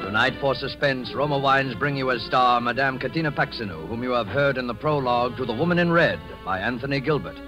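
A man's voice: mid-range voice, brisk, few pauses, over loud music. A man introducing a 1940s radio drama in a formal, portentous manner. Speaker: radio show announcer.

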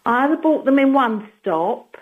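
A woman with a high-pitched voice talks informally on a call. She is hesitant and often repeats her words as she goes. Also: animated, normal speed, husky, narrow, tinny audio. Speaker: radio phone-in listener. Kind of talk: informal phone conversation.

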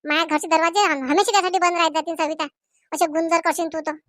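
A woman's voice: very high-pitched, steady tone, fast, fairly bright tone, dry recording, clean studio sound. A woman voicing a stern, scolding village matron in a comedy cartoon, with a rural Maharashtrian accent and an emphatic delivery. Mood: stern warning. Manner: emphatic, admonishing. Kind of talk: Marathi comedy cartoon dialogue.

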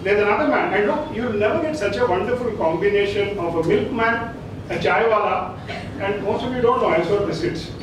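A man with an Indian accent moderating a panel, jovial and lively. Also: high voice, brisk. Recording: echoey hall, far mic, noisy.

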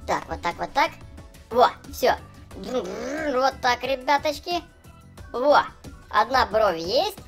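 A man's voice: extremely high pitch, animated, slow, pauses often, fairly bright tone, heavily acted. A man voicing a cheerful young clown for small children, gushing and chatty as he narrates gameplay. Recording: voice over background music. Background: music.

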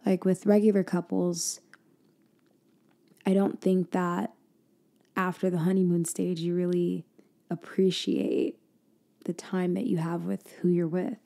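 A woman speaks slowly and softly, as in an intimate ASMR girlfriend roleplay, her voice lowish and edged with vocal fry. She drifts between a hushed murmur and a breathy whisper. She pauses, trails off and restarts her thoughts. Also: little pitch movement.

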